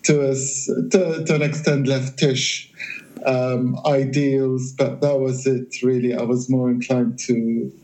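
Hesitant Lebanese-born man, measured answers. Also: medium pitch, little pitch movement, warm tone, poor audio. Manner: hesitant, measured, many restarts. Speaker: academic guest. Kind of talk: remote interview, thoughtful answers. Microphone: remote line.

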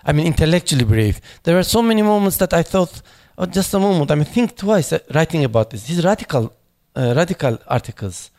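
A man speaking at a memorial panel, halting and searching for words, with many fillers and restarts in English that is not his first language. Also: fairly high pitch, very animated, a little mellow. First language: not English; foreign accent in Turkish noted.

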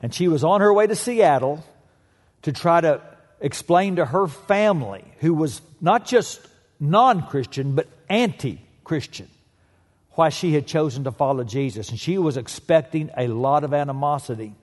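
Preacher telling a story in a big hall. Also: male voice, on the high side, animated, normal speed. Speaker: pastor. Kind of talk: sermon.

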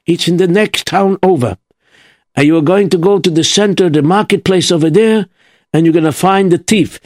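A man voicing a wise old rabbi who speaks in a measured, soothing, thoughtful way. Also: sits high, a little mellow, no echo, studio quality, voice pushed up, lightly acted. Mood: calm. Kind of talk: storytelling.

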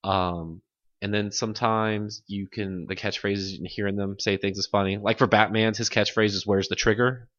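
A man with a fairly low voice talks casually and loosely, rambling as a gaming podcast host. The sound is close and dry, slightly dull and lo-fi. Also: steady tone, moderate pace, a bit bright, no echo. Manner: rambling, laughs often. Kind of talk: casual chat about video games.

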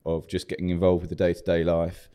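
A man's voice: low voice, monotone, fast talker, mellow, clean tone. A man from South England, a church leader telling his story in an easygoing, joking way. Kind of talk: interview conversation.

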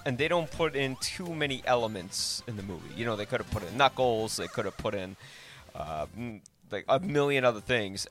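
A man gives a casual, conversational review as a podcast host, his voice a middling male pitch. He rambles along, leaning often on "like" as he works through his thoughts. Faint music plays underneath. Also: a bit bright. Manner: rambling, filler-heavy with frequent "like". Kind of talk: casual film review chat.

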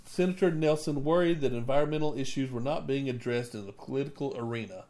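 A man's voice: medium pitch, fast, warm tone. A man co-hosting a casual chat at home with his child, prompting with leading questions. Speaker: podcast co-host talking with his child.

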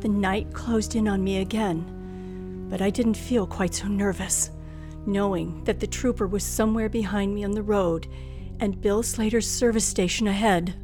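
A woman's voice: mid-range voice, fairly even intonation, unhurried, close to an everyday voice. An actress playing a nervous, frightened young woman driver who tells her ordeal in a breathless, suspenseful old radio thriller style. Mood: tense, hushed. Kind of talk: old-time radio thriller acting and first-person narration. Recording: vintage radio drama with music underneath.

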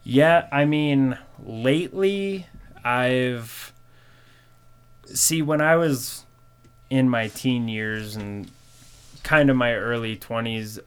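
A man in his twenties from Colorado, hosting a casual chat in a relaxed, conversational way with lots of "you know". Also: medium pitch, slow pace, long pauses, smooth. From Colorado, USA. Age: 20s. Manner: laid-back, conversational, peppered with "you know".

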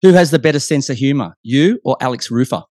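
A man's voice: medium pitch, expressive, quick pace, darkish tone, no echo, clean studio sound. A man hosting a casual sports chat, putting questions to his guest as interviewer. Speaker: interview host.

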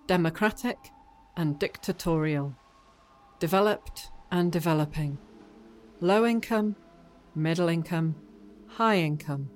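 A woman's voice: fairly low pitch, a little mellow. A woman playing a solemn inhabitant who recites poetry phrase by phrase in a radio play. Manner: measured, listing phrase by phrase. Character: solemn female inhabitant narrating a migration corridor.

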